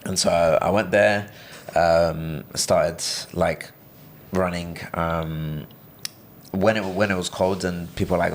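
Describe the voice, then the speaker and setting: A young man from Brazil speaks with a British-tinged accent in a deep register. His voice is low and rough with fry, and he wanders through his points with fillers and restarts. A faint noise sits under the recording. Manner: rambling, many fillers and restarts. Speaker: podcast guest. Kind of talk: casual interview chat.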